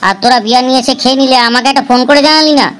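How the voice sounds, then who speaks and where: A woman's voice: sits high, fairly even intonation, rapid-fire, a bit bright, slight background noise. A woman voicing a cheeky young male village prankster in a comedy cartoon, with slangy, teasing banter. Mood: arguing.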